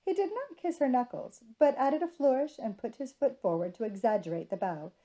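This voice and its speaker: Lady reading a novel out loud, really mellow dark voice. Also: fairly high pitch, lively intonation, fairly quick. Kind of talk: scripted storybook narration.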